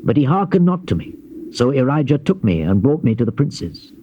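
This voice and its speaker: A man performs a grave Old Testament prophet in an audio scripture drama. The voice is mellow and mid-pitched, declaiming in measured, stately phrases that rise and fall widely. It comes from a muffled old cassette recording. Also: very animated, lightly acted. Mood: solemn narration. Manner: solemn, declamatory, measured phrasing with emphatic rises. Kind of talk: dramatized scripture reading, classical stage delivery. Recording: dull lo-fi old cassette, faint hiss.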